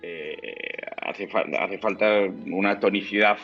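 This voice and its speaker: A low-voiced man from talks as a singer guest in an interview. He explains with care, pausing to look for the right words. The audio is dull and lo-fi, with faint music behind him. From Spain. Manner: thoughtful, explanatory, searching for words. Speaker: opera and zarzuela baritone guest. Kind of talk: interview conversation. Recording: muffled lo-fi audio.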